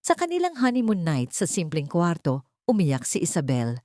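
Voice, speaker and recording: A woman's voice: lowish voice, wildly swooping pitch, normal speed, a little mellow, dry recording, clean studio sound. A woman reading a scripted dramatic story as an audiobook narrator.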